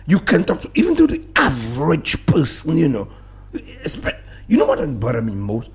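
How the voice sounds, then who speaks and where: A man with a Dominican accent, a guest on a talk-radio show, speaking in emphatic, animated bursts that drop into low mutters. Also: normal speed, vocal fry, background noise. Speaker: studio guest. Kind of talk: passionate talk-radio discussion.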